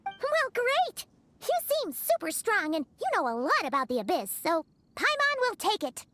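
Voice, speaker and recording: A woman voicing a tiny, excitable female fairy-like companion in a video game who talks about herself in the third person. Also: very high-pitched, moderate pace, slight background noise, heavily acted. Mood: cheerful.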